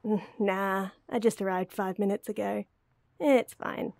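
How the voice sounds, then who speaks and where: Woman acting playful young woman, slow, soft-edged, flustered. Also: mid-range voice, steady tone, creaky, dry recording. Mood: cheerful, giggly. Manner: teasing, giggly, hesitant. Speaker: voice actor in an audio roleplay. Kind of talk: intimate one-sided ASMR-style roleplay acting.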